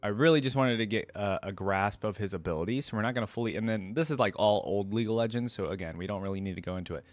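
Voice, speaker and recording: Male reaction host, lower register, conversational and off the cuff, dry studio-close sound. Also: fast, few pauses, no room sound. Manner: conversational, thinking aloud. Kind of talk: casual reaction commentary.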